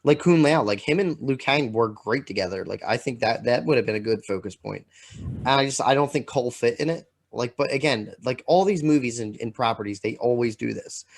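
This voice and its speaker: A man co-hosting a podcast in casual chat, talking in a halting, rambling way full of filler words. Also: mid-range voice. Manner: halting, rambling delivery peppered with "like". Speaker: podcast co-host.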